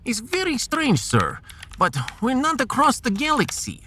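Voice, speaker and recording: A man's voice: very high-pitched, wildly swooping pitch, bright, forceful tone, a little hiss or hum, voice pushed up, full character voice. A man voicing a fussy, gloomy Russian science officer in a sci-fi audio drama, with a Russian accent and pedantic manner. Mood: puzzled. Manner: pedantic, tag questions, drawn-out phrasing.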